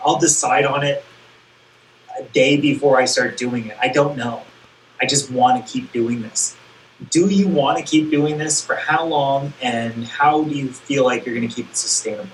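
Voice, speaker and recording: A man's voice: medium pitch, normal speed, distant mic. A man hosts a casual interview, rambling his way through questions and throwing in self-deprecating asides. His voice carries a roomy echo. Faint noise sits underneath. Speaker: interview show host.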